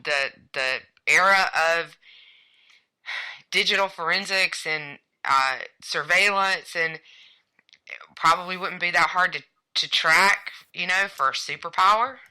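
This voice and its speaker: A woman's voice: low voice, pushed and bright, clear, resonant tone. A woman co-hosting a casual podcast conversation, talking things through with wry asides. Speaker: podcast co-host. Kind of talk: casual chat about cybersecurity.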